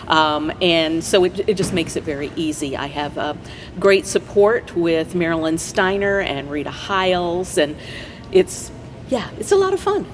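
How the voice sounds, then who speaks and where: A woman's voice: lowish voice, sing-song, a little slow. An American woman speaking conversationally as a guest in a casual interview. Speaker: interview guest, play director. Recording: faint background noise.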